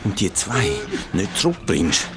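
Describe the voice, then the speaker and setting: A man's voice: deep voice, very animated, unhurried. A man playing a stern, weary, theatrical fantasy character with a Swiss German accent in a children's radio play. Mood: threatening. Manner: emphatic, commanding, theatrical.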